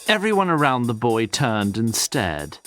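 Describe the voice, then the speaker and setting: Male narrator: wry, formal, mid-pitched, very expressive intonation, music underneath. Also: unhurried. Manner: wry, formal, addresses listener directly. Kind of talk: scripted storybook narration, audio drama.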